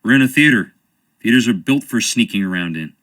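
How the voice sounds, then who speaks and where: A wry, theatrical American actor. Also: male voice, on the low side, lively intonation, a little fast, a bit bright. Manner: wry, theatrical, dodging questions. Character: charming evasive young male stage actor. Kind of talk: video game voice acting.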